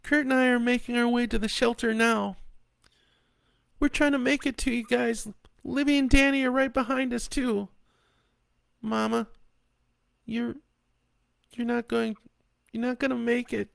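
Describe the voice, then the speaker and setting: A man's voice: very high-pitched, fairly even intonation, measured pace, gravelly, long pauses, fairly bright tone, dry recording, voice pushed up, exaggerated voice. Young daughter, trembling and scared, put on by a male narrator. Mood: tearful. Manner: shaky, quivering. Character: young adult daughter, scared but brave.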